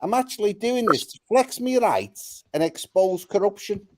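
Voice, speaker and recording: A man's voice: high voice, animated, normal speed, heavy background noise. A man playing a brash, foul-mouthed Scouse chancer who baits the police, with a Scouse accent, swaggering and calling everyone lad and mate. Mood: swaggering rant. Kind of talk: comic put-on character on a live chat.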